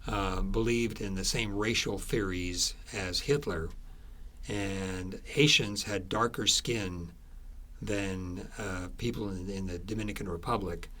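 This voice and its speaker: Low-voiced man, halting and explanatory. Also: bright, forceful tone. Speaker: author being interviewed about his book. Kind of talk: conversational interview answers.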